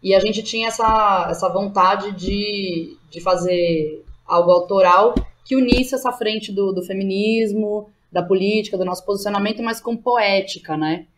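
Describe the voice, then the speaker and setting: A woman's voice: medium pitch, moderate pace, few pauses, slight background noise, low-quality recording. A woman with a São Paulo Brazilian accent talking informally and slangily as a podcast guest, thinking aloud and often checking in with the listener. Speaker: interview guest, playwright and theatre educator. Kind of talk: casual podcast interview.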